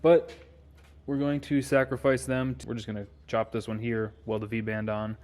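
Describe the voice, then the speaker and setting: A man's voice: mid-range voice, flat delivery, moderate pace, darkish tone, slight background noise. An American man narrating a garage car-build vlog informally, thinking aloud as he works. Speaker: car builder and video host. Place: home garage.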